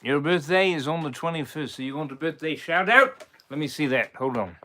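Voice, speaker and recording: A man's voice: a little high, expressive, a little fast, bright, forceful tone. Man doing a pirate drawl on a live stream, pirate english accent, voice swoops all over. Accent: put-on pirate English. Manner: theatrical pirate drawl, playful. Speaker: impressionist streamer. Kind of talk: live stream shout-outs.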